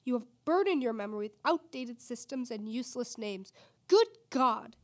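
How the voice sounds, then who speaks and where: A woman's voice: a little high, lively intonation, moderate pace, close to an everyday voice. A woman playing a stern, formal science professor in a radio play, with haughty, emphatic diction. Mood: scornful, indignant. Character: stern, dismissive science professor mentoring a student.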